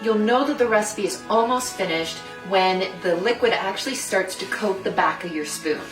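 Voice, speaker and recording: A woman presents a cooking demonstration, walking through each step like an instructor. Her voice sits at a medium pitch. It is picked up from a distance in an echoey room, with music and kitchen sounds behind her. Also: normal speed. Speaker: food designer and cooking host. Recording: roomy echo, distant mic, music behind.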